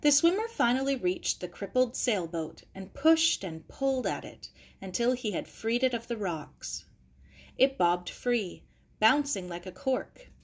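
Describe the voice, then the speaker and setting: A woman's voice: fairly high pitch, lively intonation, unhurried, slightly rough. A woman reading a children's novel aloud as scripted narration. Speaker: audiobook reader.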